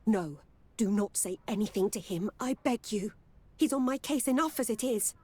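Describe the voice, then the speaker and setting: An actress voices a wary courtesan in a video game. She speaks at a middle pitch, worldly and guarded, like someone careful about every client. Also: moderate pace, dark tone, slightly rough, natural voice. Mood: nervous, pleading.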